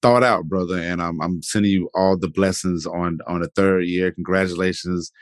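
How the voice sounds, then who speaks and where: Deep-voiced laid-back man. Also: fairly even intonation, moderate pace, no room sound, studio quality. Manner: laid-back, filler-heavy, repeats "definitely" and "man". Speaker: podcast host. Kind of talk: casual interview chat.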